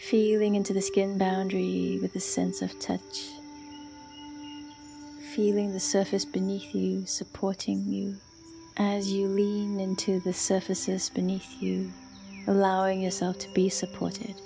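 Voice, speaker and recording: Female meditation guide: very unhurried delivery, soft and breathy with gravelly fry, faint music underneath. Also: mid-range voice, monotone. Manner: soft, soothing, breathy, with long pauses between short phrases. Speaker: meditation and yoga instructor. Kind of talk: guided yoga nidra meditation.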